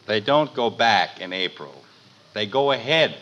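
Harried American suburban father, middle-aged, sarcastic, animated pitch, old dull radio sound. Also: measured pace. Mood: dryly exasperated. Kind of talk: 1950s radio sitcom acting. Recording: muffled, noisy old radio recording.

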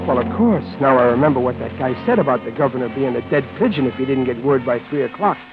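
A man's voice: medium pitch, animated, fast, natural voice. An actor playing an ordinary, nervous wisecracking guy in a 1940s radio crime drama, with an American accent and slangy, stammering delivery. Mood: wry, weary. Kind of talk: 1940s radio acting, hardboiled first-person narration. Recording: tinny old radio broadcast with loud music.

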